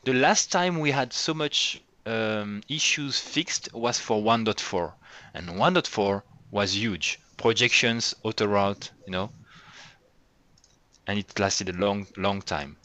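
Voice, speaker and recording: A man leading an online developer meeting in casual chat, quick and lively, laughing often, on a computer mic. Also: fairly low pitch, dry recording. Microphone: computer mic on a video call.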